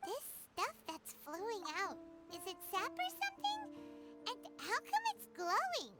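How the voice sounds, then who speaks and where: Game cutscene: high, squeaky, excitable fairy sidekick with a swooping, chatty read. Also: female voice, measured pace, heavily acted. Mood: curious. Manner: chirpy, refers to herself in third person. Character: tiny floating fairy-like companion, chatty, excitable. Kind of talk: video game cutscene dialogue. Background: loud game music.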